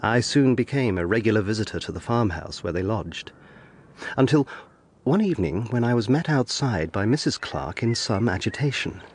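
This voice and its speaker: An actor playing an earnest, persistent young gentleman lawyer in a period audio drama, speaking formally. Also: fairly low pitch, expressive, normal speed, slight background noise. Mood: reflective narration. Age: young adult. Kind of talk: British period audio drama, narration and dialogue.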